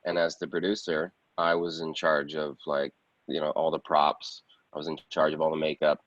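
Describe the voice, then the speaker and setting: A man with a low voice speaks casually as an interview guest. The sound is roomy and slightly muffled. His phrasing is loose and conversational. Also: level tone, normal speed, fairly bright tone. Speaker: guest, actor and film producer.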